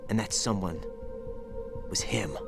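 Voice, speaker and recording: A man's voice: low voice, slow, creaky, exaggerated voice. A man playing a haunted young former child soldier, bitter and guilt-ridden, in a somber, confessional video game performance. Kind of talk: dramatic video game voice acting. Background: background music.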